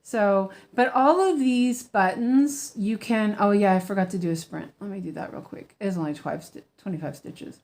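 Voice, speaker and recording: A woman's voice: medium pitch, moderate pace, few pauses, a little mellow. An American woman, a weaving teacher, chatting casually as she stitches, with lots of ums, restarts and easy laughter. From United States. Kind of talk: casual stitch-along chat.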